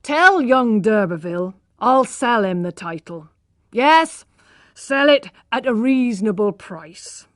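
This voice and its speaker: A woman's voice: on the high side, lively intonation, takes it slowly, no echo, clean studio sound. A woman doing a pompous old father, blustering. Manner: loud, blustering, haggling. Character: pompous, drowsy old male country father.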